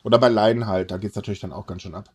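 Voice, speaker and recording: A man's voice: on the low side, steady tone, rapid-fire, darkish tone, slightly rough. A man hosting a podcast, explaining news items casually in a hesitant, wordy way. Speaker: podcast host. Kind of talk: casual news chat with co-hosts.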